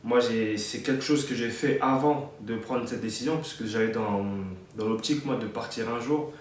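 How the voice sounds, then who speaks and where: A man's voice: medium pitch, moderate pace, far from the mic, slight background noise. Chatty young man in an echoey room. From France. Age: 20s. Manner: chatty, many fillers and restarts. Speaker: vlogger. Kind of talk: casual advice vlog.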